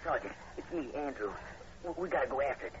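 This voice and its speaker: A man acts a folksy, agitated country fellow frightened in a vintage radio horror play. His pitch is lively and varied. The sound is muffled with clear background noise. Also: fast, close to an everyday voice. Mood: panicked urgency. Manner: folksy, agitated, rustic grammar. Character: frightened, superstitious rustic backwoods man. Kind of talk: 1940s radio horror acting. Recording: muffled, noisy old radio recording.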